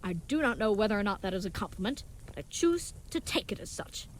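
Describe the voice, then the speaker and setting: Woman playing a fast-talking, earnest would-be knight. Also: medium pitch, big pitch swings, slight background noise, natural voice, low-quality recording. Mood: gallant, confident. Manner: formal, contraction-free, chivalrous, grandly earnest speech. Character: earnest, brave, naive young leatherworker playing knight, cheerfully oblivious. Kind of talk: scripted audio drama acting.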